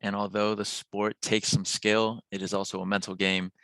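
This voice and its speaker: A young man reading his class presentation in a steady manner. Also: fairly low pitch, moderate pace, no echo, rough recording. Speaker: student presenter.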